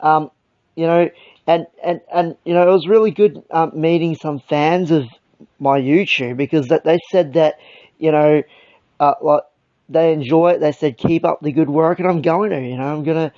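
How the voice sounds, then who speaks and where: A young Australian man with a croaky voice, hosting a casual podcast chat, rambling and swearing with lots of fillers. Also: a little high, normal speed, darkish tone, dry recording. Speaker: podcast host. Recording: dull lo-fi sound.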